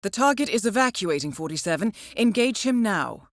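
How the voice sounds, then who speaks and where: An actress playing a composed, dryly witty intelligence handler in a video game, briefing an assassin in a formal, understated, ironic manner. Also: female voice, medium pitch, expressive, fairly quick, no echo, studio quality, natural voice. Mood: composed, businesslike. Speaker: mission handler.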